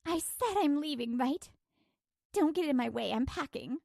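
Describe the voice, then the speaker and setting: A woman's voice: sits high, animated, moderate pace, vocal fry, a little mellow, no room sound, studio quality. A woman voicing a fed-up working wife, indignant, cutting and resolute, in a manga story dub.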